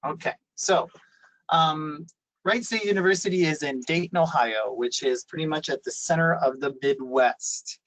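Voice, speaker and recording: A man's voice: fairly high pitch, moderate pace, a bit bright, poor audio. Man, presenting. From Italy. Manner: explanatory, with frequent fillers and restarts. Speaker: university presenter. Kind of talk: webinar presentation.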